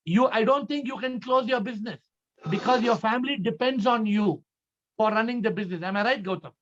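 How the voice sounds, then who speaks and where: A man's voice: high-pitched, a little fast. A man with an Indian accent, a spiritual teacher answering questions in an emphatic, didactic way, often repeating phrases and posing rhetorical questions. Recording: dull lo-fi online call audio.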